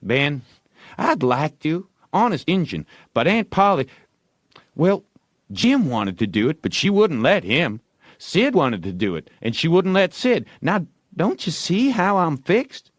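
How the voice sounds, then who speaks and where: A man's voice: fairly high pitch, huge pitch swings, dry recording, clean studio sound, pitched above the performer's own voice, exaggerated voice. A man voicing a sly young boy who feigns carelessness and reluctance in folksy dialect, for an audiobook. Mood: coy reluctance. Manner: folksy drawn-out boyish dialect, coy. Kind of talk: audiobook character voice.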